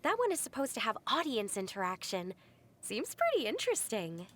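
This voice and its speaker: A woman's voice: a little high, wildly swooping pitch, brisk, slight background noise. A woman voicing a snide, dismissive young female agent in a game. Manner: sarcastic, dismissive.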